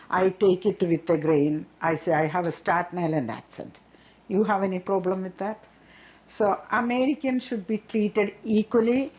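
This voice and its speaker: A woman's voice: medium pitch, a little mellow. An older woman from south India with an Indian accent, speaking plainly and directly in documentary testimony.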